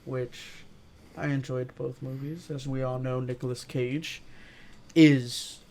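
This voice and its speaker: A man's voice: mid-range voice, steady tone, takes it slowly, darkish tone, slight background noise. A man co-hosting a casual film review, laid-back and agreeable in his talk. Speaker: co-host.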